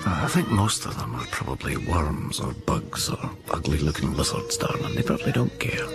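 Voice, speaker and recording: A man's voice: very deep voice, big pitch swings, moderate pace, gravelly, exaggerated voice. A man playing an affectionate, down-to-earth husband in a radio drama, murmuring casually with offhand humour. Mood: relaxed, affectionate. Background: music.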